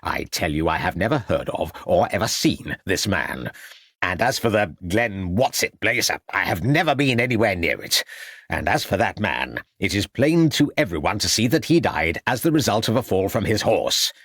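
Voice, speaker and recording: A man's voice: deep voice, big pitch swings, normal speed, dry recording, studio quality, full character voice. A man voicing an angry, blustering doctor in an audiobook, growling and indignant. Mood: threatening, indignant. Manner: growling, snarling, shouted outbursts. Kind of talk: audiobook character acting.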